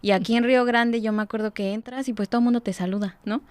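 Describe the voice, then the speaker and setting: Woman, 30s, soft-spoken, reserved, smooth, dry sound. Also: on the high side, steady tone, fast, no room sound. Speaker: guest. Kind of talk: casual conversation.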